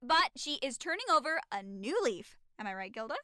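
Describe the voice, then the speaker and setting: A woman's voice: fairly high pitch, very animated, a little fast, sharp tone, clean tone, no room sound, clean studio sound. A woman playing a bubbly, eager detective's colleague in an audio drama, perky and theatrical. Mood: encouraging.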